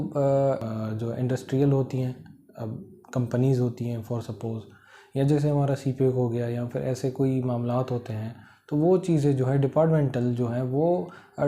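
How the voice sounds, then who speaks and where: A man with a Pakistani accent giving an informal explanatory lecture, halting and repetitive, with many filler phrases. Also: medium pitch, warm tone. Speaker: law lecturer. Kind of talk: informal explanatory lecture, Urdu mixed with English legal terms.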